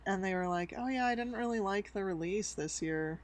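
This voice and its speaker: A woman's voice: mid-range voice, brisk. A woman co-hosting a casual chat who tells stories in a laid-back, slangy way and laughs often. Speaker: podcast co-host.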